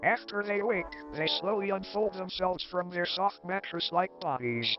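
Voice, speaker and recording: Guy with a pretty high voice reading horror documentary narration, muffled audio, music loud behind him. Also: moderate pace. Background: loud background music.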